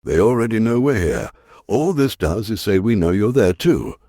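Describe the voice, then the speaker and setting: A man playing an earnest, resolute science professor in a sci-fi audio drama, with a husky voice and deliberate delivery. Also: fairly low pitch, very animated, dark tone, no echo, studio quality. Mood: intent, determined.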